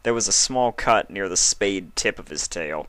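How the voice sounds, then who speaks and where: Low-voiced man reading a story. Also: expressive, moderate pace, fairly bright tone, no room sound. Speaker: story reader. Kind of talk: scripted storybook narration of fan fiction.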